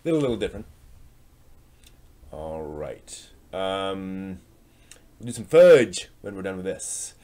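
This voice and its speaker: A man's voice: deep voice, big pitch swings, slow pace, pauses often, warm tone. A man hosting a live stream with casual, rambling chat and hesitant asides.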